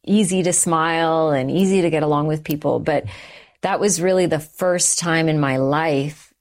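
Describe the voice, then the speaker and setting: A middle-aged woman guest giving an earnest, reflective personal testimony in short, measured phrases. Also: low voice. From Canada, the United States and Sri Lanka. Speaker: interview guest.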